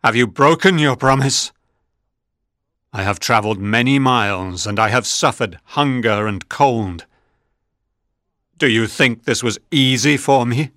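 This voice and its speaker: Man doing a vengeful storybook monster, slow heavy delivery, lowish voice, dry close sound. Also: sharp tone, dry recording. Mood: pleading. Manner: slow, pleading turning to threatening. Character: bitter, lonely, vengeful male creature. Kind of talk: audiobook reading.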